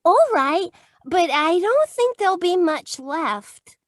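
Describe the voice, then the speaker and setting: A woman's voice: very high voice, expressive, slow pace, no echo, studio quality, exaggerated voice. A woman playing a sweet, naive, adoring young secretary in 1940s radio style, gushing and wide-eyed. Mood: innocent, chatty. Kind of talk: 1940s radio comedy-drama acting.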